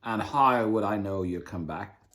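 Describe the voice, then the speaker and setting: Menacing old pirate captain, as a man voices him. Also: on the low side. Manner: growling, loud and drawn-out threats.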